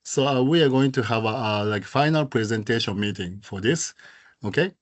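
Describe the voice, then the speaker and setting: A male host with a Japanese accent, a native speaker of Japanese, leads an online meeting. His voice sits at an average pitch. He speaks haltingly, with many fillers and restarts. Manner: halting, filler-heavy speech. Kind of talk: hosting an online presentation meeting.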